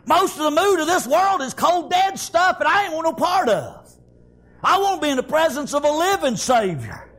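A man with a Southern US accent preaching an impassioned revivalist sermon, exclaiming praise and often shouting, in stop-and-go phrases full of repeated interjections. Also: extremely high pitch, big pitch swings, normal speed.

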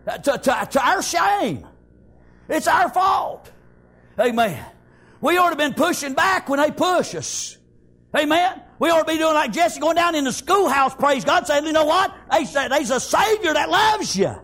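Male revivalist preacher, Southern US accent, very high-pitched, fervent and loud, peppering phrases with exclamations of praise. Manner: repetitive stop-and-go phrasing with frequent interjections. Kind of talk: impassioned revivalist sermon.

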